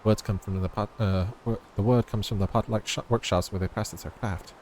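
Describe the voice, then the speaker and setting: Fast-talking male game streamer. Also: lowish voice, fairly even intonation, a little mellow, slight background noise. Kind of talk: reading game dialogue aloud and casual stream chat. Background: game music.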